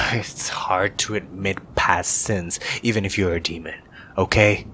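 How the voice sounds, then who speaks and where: A shy, grumpy young incubus student played by a man speaks in a deep voice for an ASMR roleplay. He is slow and grudging, hesitating and dropping toward a whisper at times. Soft rain ambience sits behind him. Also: slight background noise. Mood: defensive, embarrassed. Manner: hesitant, grudging, sighing, half-whispered in places.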